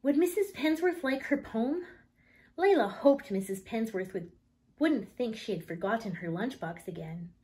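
A woman in her thirties reads a picture book aloud to children. Her voice sits fairly high and moves with very animated intonation. The delivery is expressive and emphatic, in a storyteller's style. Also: normal speed. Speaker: teacher reading aloud.

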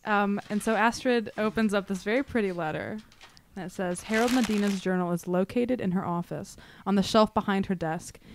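A woman's voice: mid-range voice, brisk, background noise. A young woman chatting casually as a player in a tabletop roleplaying game. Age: young adult. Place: small studio room, group around a table. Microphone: tabletop microphone.

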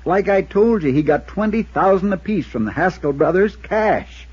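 An American actor playing an exasperated, folksy small-town insurance agent in a 1950s radio drama. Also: male voice, high voice, lively intonation, normal speed, a little mellow, slightly rough, dry recording. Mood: insistent, exasperated. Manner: folksy, insistent. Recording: dull, dry 1950s radio recording.

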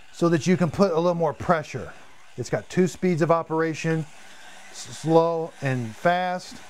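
A man's voice: medium pitch, slight background noise. A man in his fifties, a product reviewer demonstrating cleaning gear on a live stream, chatty and enthusiastic, repeating words as he goes, and losing his voice. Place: home studio room with shelves of vacuums.

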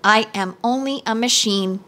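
A calm copper machine-man from a storybook, read by a woman, measured and commanding. He sounds unfeeling and steady, with a bright, clear tone. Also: a little high. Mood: stern.

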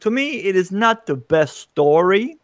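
A middle-aged man speaks into a big studio mic from his home room. His voice sits a little high for a man, and the sound is dry and close. He delivers firm opinions and moves the talk briskly along. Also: very animated, no room sound. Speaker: co-host. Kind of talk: casual movie-ranking chat. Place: room at home with screen panels. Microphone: large studio mic with headphones.

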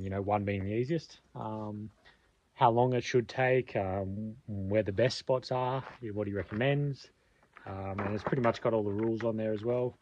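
A man's voice: fairly low pitch, darkish tone. An Australian man chatting casually through a solo camping and touring vlog, laid-back and rambling. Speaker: touring vlogger. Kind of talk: casual travel vlog narration. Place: outdoors at a campsite and in a car. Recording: dull lo-fi sound with clear outdoor and engine noise.

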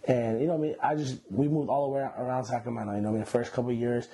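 A man in his twenties from Iran, a guest telling his story in a relaxed, slangy way with many fillers, seated indoors on a lapel mic. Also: medium pitch, rapid-fire, slightly rough. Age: 20s. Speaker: interview guest. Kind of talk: casual interview. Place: indoor room, seated on a couch.

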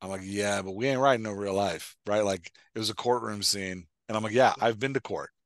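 A man's voice: lowish voice, a little fast, a bit bright, dry recording, clean studio sound. A middle-aged American man hosting a casual podcast, posing questions in an informal, colloquial way on a headset. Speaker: podcast host and coach. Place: room indoors on a video call.

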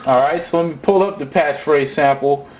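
A man's voice: on the high side, fairly quick, a little mellow, a little hiss or hum, rough recording. A man giving an informal, slangy hands-on music gear tutorial, talking casually as he demonstrates. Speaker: tutorial presenter. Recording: music and sampler sounds behind voice. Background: sampled music loops and instrument sounds.

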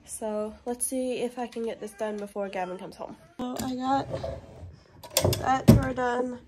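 A woman's voice: fairly high pitch, background noise. A young woman vlogging at home with her phone at arm's length, chatty and upbeat while getting over a cold. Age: young adult. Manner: chatty, upbeat morning updates. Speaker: family vlogger. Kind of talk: casual vlog to camera. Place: home living room, indoors. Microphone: phone held at arm's length.

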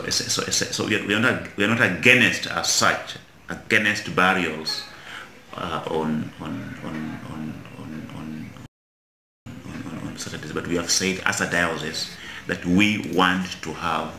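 A middle-aged Kenyan man, an Anglican bishop, answering interview questions in a measured way in a small office, often repeating words as he searches for them. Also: a bass voice, creaky, pushed and bright, a little hiss or hum. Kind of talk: interview answers mixing Swahili and English. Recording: echoey office room.

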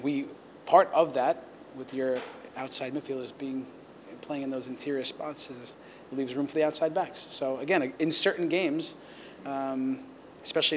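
A man in his forties, a head coach answering post-game questions at reporters' handheld mics, talking in a measured, earnest way with many restarts. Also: medium pitch, slight background noise. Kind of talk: post-game press interview. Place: press backdrop area, indoors. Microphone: handheld reporter mics held close.

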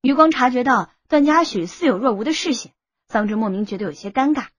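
Higher-pitched, husky woman narrator. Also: expressive, a little fast, no room sound, highs cut off. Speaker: audiobook narrator. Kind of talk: scripted novel narration. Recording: music bed under the voice.

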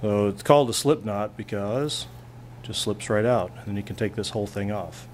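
A man's voice: fairly low pitch, moderate pace, slight background noise. A male physics teacher at a classroom lab bench, plainly talking through a hands-on demonstration and thinking aloud as he goes.

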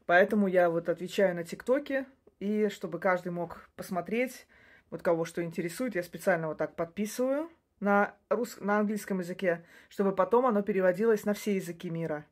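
A woman in her forties talks to her phone in a small room, chatty and rambling at an ordinary pitch. She often restarts her sentences and breaks off into short laughs. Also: brisk. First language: Russian. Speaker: social media creator. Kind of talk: casual talk to camera. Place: small room indoors by a curtain. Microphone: phone camera close up.

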